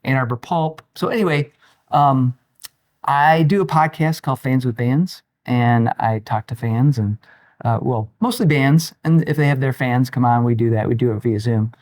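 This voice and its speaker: A man of fifty-nine chatting casually as a podcast guest, telling personal stories in an informal, halting way. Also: mid-range voice, a little mellow. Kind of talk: casual interview chat, personal anecdotes.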